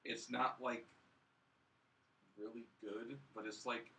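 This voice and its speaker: A man with a bright, forceful voice talks casually in a panel chat, picked up a little away from the mic. He rambles, circling back and padding his phrases with "like". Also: medium pitch, level tone, takes it slowly, recorded from a distance. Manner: rambling, heavy use of "like". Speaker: podcast panelist reviewing films.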